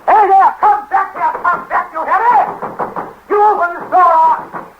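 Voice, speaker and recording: High-voiced, blustering English husband. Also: male voice, steady tone, slow pace, faint music behind. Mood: outraged. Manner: blustering, pompous, stammering when flustered. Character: indignant, blustering, stuffy wronged English husband. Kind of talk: 1930s radio stage comedy acting. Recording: noisy dull 1930s radio broadcast.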